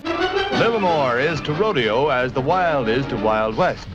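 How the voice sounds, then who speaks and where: 1950s newsreel announcer, lively man over loud music. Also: a little high, measured pace. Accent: American. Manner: jaunty, emphatic announcer delivery. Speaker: newsreel narrator. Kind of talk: 1950s newsreel narration, punning scripted commentary. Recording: dull vintage newsreel soundtrack, loud music behind.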